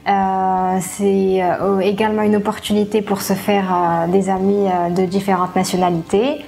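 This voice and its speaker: A young woman giving a student testimonial, halting and hesitating often. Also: mid-range voice, little pitch movement, gravelly, dark tone. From Morocco. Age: young adult. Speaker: international student. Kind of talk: interview testimonial. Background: music.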